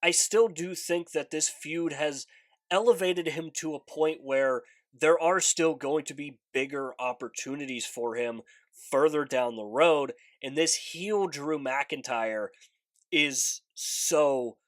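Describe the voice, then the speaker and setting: A man's voice: fairly high pitch, slow, dry recording. Congested man hosting a casual vlog. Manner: earnest and chatty, lingers on stressed words. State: congested, recovering from a fever. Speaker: wrestling preview channel host. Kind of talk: casual vlog commentary, breaking down upcoming matches.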